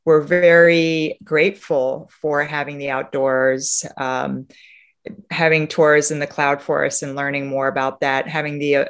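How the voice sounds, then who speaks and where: Female interview guest from Romania, conversational and reflective, halting delivery with frequent rephrasing. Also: low-pitched. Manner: reflective, halting, often rephrasing mid-sentence. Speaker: interview guest, sustainability leader. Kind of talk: conversational interview answers, telling travel anecdotes.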